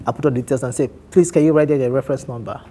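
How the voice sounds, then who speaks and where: A man in his thirties from Nigeria with an Igbo accent, telling his life story candidly on a talk show, animated and often laughing. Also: mid-range voice, rapid-fire, a little hiss or hum. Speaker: guest on a men's talk show.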